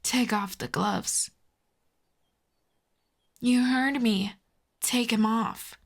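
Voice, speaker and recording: A woman playing a telepathic villain in an intimate roleplay audio drama, cool and commanding but grudgingly tender, with measured, dry, hushed delivery. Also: a little high, gravelly, exaggerated voice. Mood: firm, reassuring.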